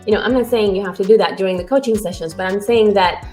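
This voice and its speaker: A woman talks with bright, animated energy as a podcast guest. Her mid-pitched voice rings in an echoey room. She tells her stories eagerly and keeps the tone upbeat. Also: fast talker, soft background music. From Romania. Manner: lively, animated storyteller who talks with energy. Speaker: guest, author and book coach. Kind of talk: casual interview talk.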